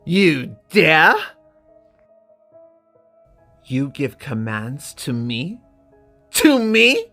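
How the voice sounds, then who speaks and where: A man's voice: a little high, very animated, very slow, sharp tone, soft background music, voice pushed up, heavily acted. A man voicing an arrogant, raging young exiled prince in an imperious, outraged manner for a dramatic book reading. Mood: outraged, threatening.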